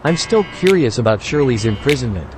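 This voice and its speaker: Polite, inquisitive male student, read by a man, mid pitch, audio-novel dialogue. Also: sing-song, brisk, background noise, natural voice. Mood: curious. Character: curious, polite young male university student.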